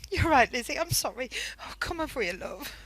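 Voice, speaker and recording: A woman's voice: high voice, animated, brisk, fairly bright tone, a little hiss or hum. A woman playing an anxious, wry mother with a British accent in a wartime radio play. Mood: worried. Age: adult.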